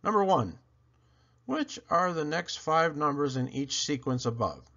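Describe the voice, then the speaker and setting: A man reading a children's picture book aloud in an animated storyteller style, with dramatic, emphatic phrasing and playful swoops. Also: fairly high pitch, measured pace, gravelly, lo-fi sound.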